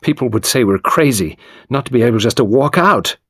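A man voicing a tormented, anxious young woman in an audiobook, with a hoarse edge. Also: animated, a little fast, no room sound, clean studio sound. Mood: weary. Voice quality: deep, hoarse, hushed.

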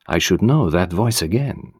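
A male narrator plays a composed detective in an audiobook, musing to himself with measured, wry self-amusement. His pitch moves freely, and the sound is dry. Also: lively intonation, darkish tone, husky, no room sound. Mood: pensive.

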